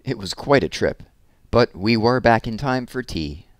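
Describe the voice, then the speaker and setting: A man's voice: medium pitch, animated, measured pace, dry recording, clean studio sound. A man in his thirties reading children's picture books aloud expressively, with casual asides, on a headset mic indoors. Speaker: read-aloud storyteller. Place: small room at home, indoors.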